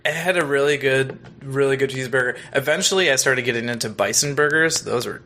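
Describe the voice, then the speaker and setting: A man's voice: mid-range voice, fast talker, bright, forceful tone. An American man, around his thirties, chatting casually as a podcast co-host in a rambling, joking way. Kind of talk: casual chat, reviewing a TV episode.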